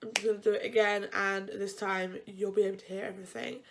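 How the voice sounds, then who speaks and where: A woman's voice: mid-range voice, little pitch movement, fast talker, background noise. A young woman vlogger with a blocked-up nose, chatting in a rambling way and often correcting herself as she gives advice about university. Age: young adult. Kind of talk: casual advice vlog.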